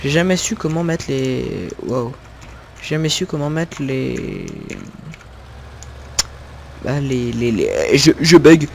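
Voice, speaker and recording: A man's voice: mid-range voice, lively intonation, slow pace, vocal fry, long pauses, slight background noise. A young man from northern France giving casual, rambling gameplay commentary, full of fillers and little laughs at himself. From Picardy, northern France. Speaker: gaming video creator.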